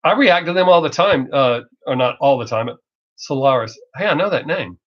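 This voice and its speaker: A man's voice: medium pitch, lively intonation. Southern American man, dull lo-fi stream. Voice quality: Southern drawl masked by fast talk. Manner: blunt, rambling, profane, joking. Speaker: reaction video creator hosting a live stream. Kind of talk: casual livestream chat, off the cuff. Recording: dull lo-fi livestream audio.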